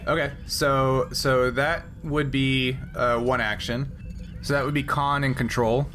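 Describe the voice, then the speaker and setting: A man running a tabletop roleplaying game as game master, explaining rules and thinking aloud in a halting way. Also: mid-range voice, steady tone, a bit bright, soft background music. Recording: noisy background.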